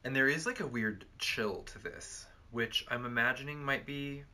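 A man's voice: mid-range voice, moderate pace, pushed and bright. A young man co-hosting a perfume review, chatting casually with lots of fillers in an indoor room. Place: cluttered indoor room with bookshelves.

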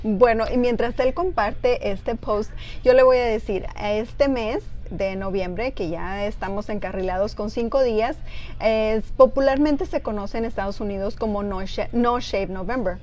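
A young woman hosting a Spanish-language news interview in a TV studio, lively and encouraging with her guest. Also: a little high, a little slow, a little hiss or hum. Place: small TV studio, seated on couch.

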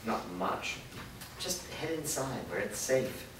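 A man in a staged reading playing a cautious, quietly cunning old father with a probing, placating manner. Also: medium pitch, expressive, takes it slowly. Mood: wary probing. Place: indoor performance room, seated before an audience. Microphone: far camera. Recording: distant mic, very echoey noisy room.

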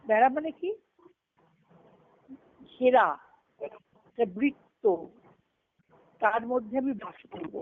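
A woman leading a devotional teaching on a conference call, reflective and explaining step by step, often asking a question and then answering it. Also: on the high side. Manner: recites scripture terms in a measured cadence. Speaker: spiritual discourse leader. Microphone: phone on a conference call. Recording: tinny conference-call phone line.

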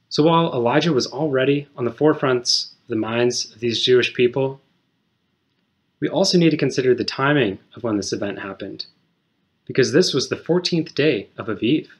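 Man in his thirties, short sermon, calm explanatory delivery, small room, camera at desk distance. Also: medium pitch. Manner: measured, explanatory, reads scripture aloud. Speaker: speaker giving a short sermon. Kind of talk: scripted sermon. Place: small indoor room at home.

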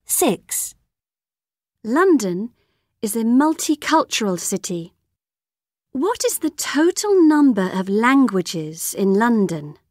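Female textbook narrator: measured, slow, bright melodic pitch, dry booth. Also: sing-song, dry recording. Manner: measured, careful reading. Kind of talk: scripted exercise announcements.

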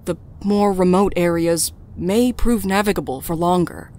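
A grave female adviser played by a woman speaks in a fairly low voice. She is formal, measured and deferential, as in a serious audio drama. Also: mellow, lightly acted. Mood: grave, cautioning.

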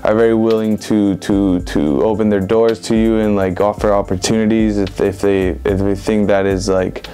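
A young man in his twenties from Venezuela, giving relaxed, rambling interview answers full of "like" and "um". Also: fairly low pitch, normal speed. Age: 20s. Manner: relaxed, rambling with many fillers like "like" and "um". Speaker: interviewed street photographer. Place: indoor room with bookshelves.

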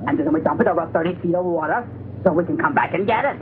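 Quick, high-voiced dim henchman played by a man. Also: lightly acted. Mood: eager, muddled. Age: young adult. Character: dim, eager young gangster henchman. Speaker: actor. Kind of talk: 1960s film comedy acting. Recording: muffled old film soundtrack with music.

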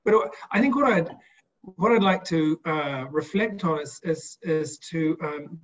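A man speaking on an online panel, recollecting in a measured, halting way with repeated words, over video call audio. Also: a little high, dull audio. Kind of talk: reflective recollection in a panel discussion. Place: indoor room, video call. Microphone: video call microphone.

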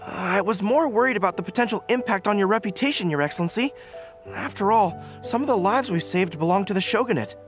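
Dutiful young dog-eared general, earnest, formal. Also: male voice, sits high, lively intonation, quick pace, over music. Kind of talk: video game voice acting.

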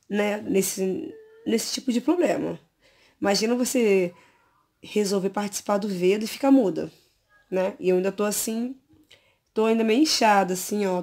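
A Brazilian woman in her thirties, hoarse from an allergy, chatting casually to her viewers from her bedroom with a phone camera close to her face. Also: medium pitch, darkish tone. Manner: chatty, hesitant, many fillers. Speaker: beauty vlogger. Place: bedroom at home, lying on pillows.

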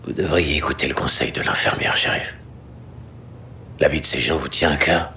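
A man's voice: a bass voice, steady tone, moderate pace, pushed and bright, slight background noise. An actor playing a menacing, volatile tough man in a dubbed action drama. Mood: quiet threat. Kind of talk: dubbed film and series acting.

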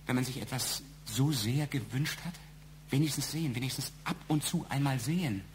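An actor plays a flustered male suspect in a radio crime play. He stammers, repeats himself and sounds both fearful and indignant, at a middling pitch. There is clear noise in the recording. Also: close to an everyday voice. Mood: anguished confession. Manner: halting, stammering, repeats words. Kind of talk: German audio drama acting.

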